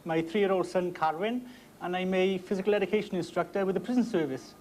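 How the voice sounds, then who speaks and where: A man's voice: high-pitched, steady tone, fast talker, a little mellow, slight background noise. A man from South Wales, a game show contestant giving short, cheerful answers.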